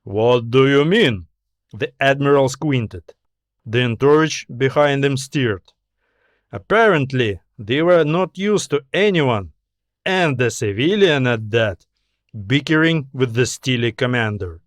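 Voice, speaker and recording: A man's voice: mid-range voice, animated, unhurried, no echo, studio quality. A man narrating science fiction as an audiobook, reading scripted prose as the story's author.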